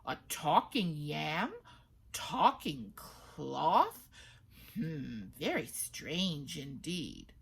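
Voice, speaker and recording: A woman voicing a wry, knowing talking fish who speaks slowly and musingly. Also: low-pitched, very animated, gravelly, husky, voice pushed down, full character voice. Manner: slow, drawn-out, musing.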